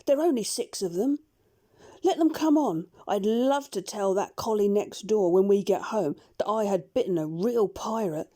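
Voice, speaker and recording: A woman voicing an excited, scrappy male dog eager to fight. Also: mid-range voice, moderate pace, lightly acted.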